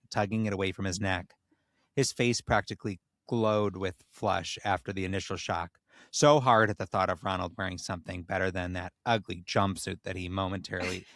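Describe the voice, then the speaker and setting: A man with a fairly low voice reads comic fiction as a podcast host. The sound is dry and close, and the delivery slips between narration and casual banter. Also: gravelly, a bit bright, dry recording.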